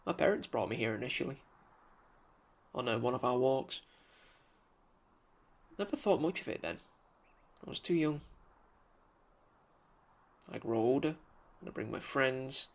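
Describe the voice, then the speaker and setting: A male actor as a solitary, thoughtful young man in a radio play. His voice has a marked creak at a medium pitch. He muses slowly through poetic thoughts, sometimes halting as if searching for the word. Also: animated. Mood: wistful. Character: pensive solitary young man musing on a bridge. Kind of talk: radio drama monologue.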